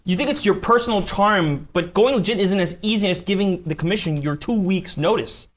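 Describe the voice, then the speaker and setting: Anxious gangster confidant male actor, fairly high voice, pressing and streetwise, live script reading. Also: fairly quick, close to an everyday voice. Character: worried, pressing male mobster, the boss's confidant. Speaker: actor at a table read.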